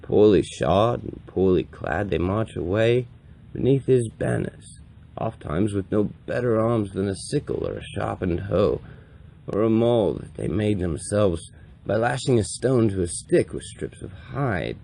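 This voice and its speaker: Man acting as old wandering priest, low mellow voice, gravelly, solemn, mournful, compassionate, storybook monologue. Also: expressive, full character voice. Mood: grave, measured. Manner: slow, deliberate, solemn, with dramatic swells. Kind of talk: dramatic audiobook-style monologue reading.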